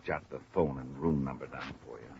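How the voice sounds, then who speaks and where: Deep talkative company man, old radio show, muffled with some hiss. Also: steady tone, fairly quick, gravelly, dark tone. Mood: businesslike. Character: talkative, puzzled visiting male insurance company man. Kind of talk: 1950s radio drama acting.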